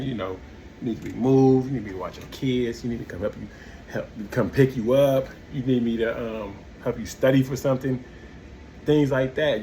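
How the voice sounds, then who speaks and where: A man's voice: medium pitch, a little mellow, slight background noise. An American man speaking in a casual, candid monologue, slangy, repeating himself as he searches for words. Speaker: vlogger sharing personal experience of prison.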